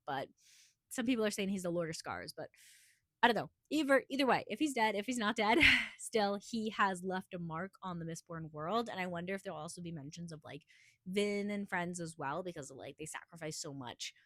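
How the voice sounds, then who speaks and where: Hoarse woman chatting about a book. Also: lowish voice, fairly bright tone, no echo, studio quality. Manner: chatty, rambling, many "like" fillers. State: hoarse from a cold. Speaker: book reviewer. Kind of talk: casual book review vlog.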